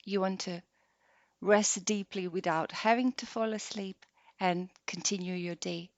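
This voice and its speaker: Woman doing guided meditation, really slow n soft, long pauses, dull lo-fi dry recording. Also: medium pitch, steady tone, dry recording. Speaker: yoga nidra meditation guide.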